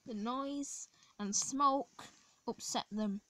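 A hoarse woman, a children's channel host, reading a picture book aloud at home, halting and restarting often. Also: fairly high pitch, a bit bright, slight background noise, poor audio. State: hoarse from a sore throat. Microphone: phone camera over the book.

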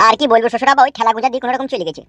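A woman doing a crude, fast-talking cartoon village rascal. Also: on the high side, steady tone, fairly bright tone, dry recording, faint music behind, natural voice. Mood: cheeky. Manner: coarse, cheeky, slangy. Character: crude, grumbling village son-in-law, a male cartoon rascal. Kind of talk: comedy cartoon dialogue.

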